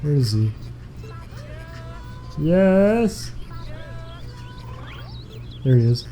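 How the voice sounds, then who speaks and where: A young man chatting casually on a game stream, reading out donation messages in a relaxed, meandering way. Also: fairly high pitch, very slow, slight background noise.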